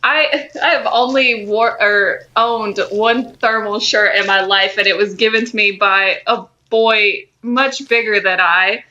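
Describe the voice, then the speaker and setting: A woman's voice: medium pitch, unhurried, few pauses, pushed and bright, highs cut off. A young woman co-hosting a podcast with casual, wry, teasing banter. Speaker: podcast co-host.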